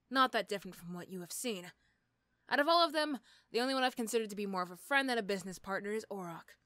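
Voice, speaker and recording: A woman playing an irritable, sarcastic female supervillain in a roleplay audio drama, snappy and condescending but gruffly caring. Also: on the high side, bright, forceful tone, no echo, studio quality. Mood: dry, guarded.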